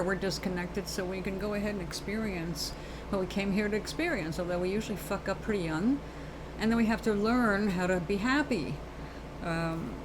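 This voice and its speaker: A woman's voice: medium pitch, background noise. A woman from New York with a New York accent, hosting a live show and chatting earnestly and ramblingly about spirit, calling listeners baby and darling. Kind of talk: casual spiritual talk to viewers.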